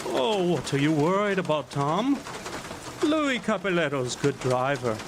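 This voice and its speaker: A man's voice: a little high, big pitch swings, unhurried. A man playing a stubborn, cheerful middle-aged Italian immigrant farmer in a radio drama, with an Italian accent and simple, easygoing English. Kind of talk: live radio drama acting. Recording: noisy outdoor amphitheater stage recording.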